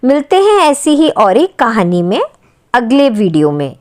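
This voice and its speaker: A woman narrates a moral story for children in a fairly high voice. Her delivery is unhurried and swings widely in pitch. Music plays behind her. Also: big pitch swings, darkish tone.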